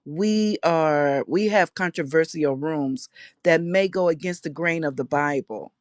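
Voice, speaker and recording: A woman's voice: deep voice, no room sound. Woman guest, chatty and open, phone audio, dry close sound. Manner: open, talkative, frequent restarts. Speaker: guest, host of another chat room. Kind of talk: audio chat room discussion. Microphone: phone in a voice chat app.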